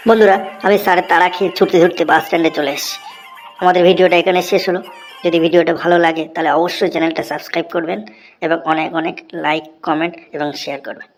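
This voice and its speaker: Woman playing an eager, cheeky schoolboy, slightly low-voiced. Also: flat delivery, racing, faint music behind, natural voice. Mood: cheerful sign-off. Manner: deferential, earnest, rambling classroom recitation. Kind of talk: Bengali comedy cartoon voice acting, recitation.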